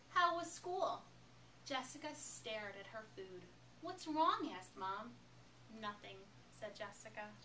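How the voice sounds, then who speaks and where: A woman reads a children's storybook with emphatic, dramatic phrasing. Her voice is fairly high and forceful. It sounds distant from the microphone, in an echoey room. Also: slight background noise. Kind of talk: expressive children's picture book read-aloud.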